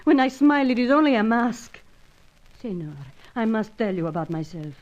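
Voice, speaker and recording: High-voiced, swooping Latin seductress. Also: female voice, sing-song, normal speed, a little mellow. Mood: desperate pleading. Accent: Latin American. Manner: theatrical pleading, foreign phrasing. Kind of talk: old-time radio spy serial parody acting. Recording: dull lo-fi radio sound.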